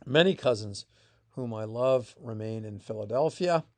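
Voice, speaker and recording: A man narrating a scripted piece of family history as its author, in a measured reading with emphatic phrase openings that fall away. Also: medium pitch, expressive. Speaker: author reading own essay.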